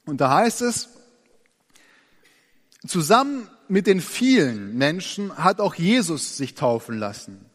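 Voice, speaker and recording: A man preaching in a slightly high voice, earnest and expressive. He slows down and goes soft to stress key points. His voice trails off into the long reverb of a large church hall. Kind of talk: sermon.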